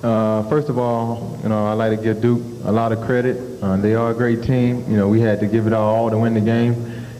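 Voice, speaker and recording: A young man, a college basketball player, answering questions at a postgame press conference in a halting, modest way full of fillers. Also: on the low side, little pitch movement, dark tone. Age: young adult. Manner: modest, halting, many fillers like "you know". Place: press conference room in a large arena. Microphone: table microphone. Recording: live TV feed, long reverb, faint hiss.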